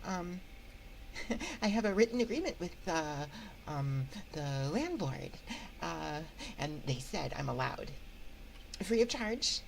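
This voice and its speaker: A woman's voice: fairly low pitch, sing-song, slow pace, creaky, pauses often, slight background noise, exaggerated voice, poor audio. A woman voicing a timid, awkward female shade in an ASMR roleplay, stammering and rambling nervously into a close microphone. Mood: awkward, sheepish. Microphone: close binaural microphone.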